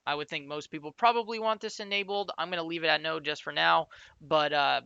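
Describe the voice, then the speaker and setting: A man's voice: fairly high pitch, pushed and bright, no room sound, lo-fi sound. A man giving an informal, explanatory step-by-step screencast tutorial in a conversational way, as an instructor.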